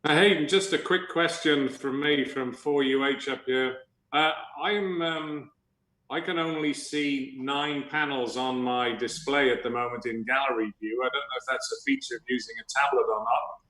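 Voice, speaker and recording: A man's voice: mid-range voice, steady tone, moderate pace, sharp tone, roomy sound, rough recording. A man on a video call from his kitchen, asking polite, good-humoured questions. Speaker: radio club member on the call. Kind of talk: casual video call chat. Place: home kitchen.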